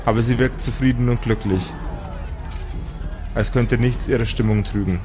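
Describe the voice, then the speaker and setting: A man narrating an improvised tabletop audio play as game master, in measured, dramatic short phrases. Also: medium pitch, fairly even intonation, over music.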